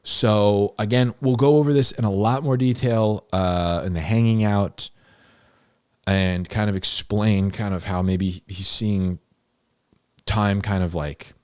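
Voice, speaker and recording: A man with a low-pitched voice, recorded close and dry. He teaches scripture off the cuff in an informal, conversational style. He leans on key phrases and repeats them for emphasis. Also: fairly even intonation, slow, a little mellow, clean tone, no room sound. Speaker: host of a Bible-explainer series, teacher. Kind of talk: informal, unscripted Bible teaching.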